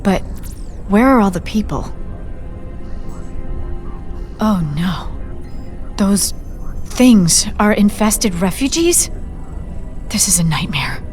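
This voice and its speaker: An actress playing an earnest, anxious scientist doctor with a precise, educated delivery in a game cutscene. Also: female voice, mid-range voice, sing-song, slow pace, long pauses, darkish tone, faint music behind. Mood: horrified. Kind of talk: video game cutscene acting.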